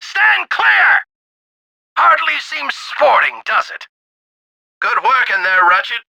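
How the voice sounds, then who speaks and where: A man voicing a gruff older alien general in a video game, a raspy, commanding military pilot speaking through a radio filter. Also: very high-pitched, sing-song, takes it slowly, bright, forceful tone, no room sound, heavily acted. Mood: wry, calm. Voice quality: gravelly, raspy. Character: gruff older alien general, bold, urgent, loyal mentor. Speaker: wingman pilot giving orders over radio. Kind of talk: video game voice acting, combat radio chatter.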